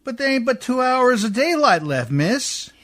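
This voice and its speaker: A man acting in a radio play as a rough, good-natured, hard-drinking Canadian riverboat skipper with a folksy, deferential, ungrammatical drawl. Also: very high-pitched, sing-song, moderate pace, fairly bright tone, heavily acted. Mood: grudging.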